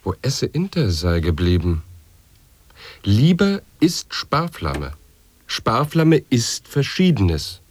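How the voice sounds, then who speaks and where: A man's voice: lowish voice, huge pitch swings, slow, exaggerated voice. An actor playing a theatrical man intoning absurd, mock-learned verse in an experimental radio drama. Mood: chanting.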